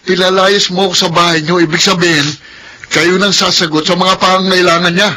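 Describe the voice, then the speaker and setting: A man's voice: high-pitched, fairly even intonation, brisk, bright, forceful tone, a little hiss or hum. An actor playing a callous, sarcastic estranged father in a Filipino radio drama, curt and dismissive. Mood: cold, dismissive.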